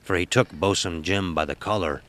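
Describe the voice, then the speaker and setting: Very deep-voiced man narrating a sea horror tale. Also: a bit bright. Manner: archaic nautical diction, dramatic, deliberate. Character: old-time sailor recounting a sea horror, grave. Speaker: storyteller narrator. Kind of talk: scripted horror story narration in character.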